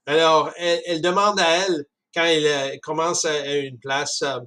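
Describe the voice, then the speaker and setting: A man with a Canadian French accent talking casually about a book, halting often with restarts and chuckles. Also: fairly high pitch, steady tone, sharp tone. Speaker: speaker discussing a book. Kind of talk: casual spoken commentary.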